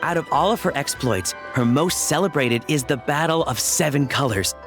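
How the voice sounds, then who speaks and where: Male actor as a keen game researcher, fairly high voice, courteous, precise, scholarly narration, faint music. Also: moderate pace, lightly acted. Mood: absorbed, explanatory. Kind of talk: video game voice acting, scripted exposition.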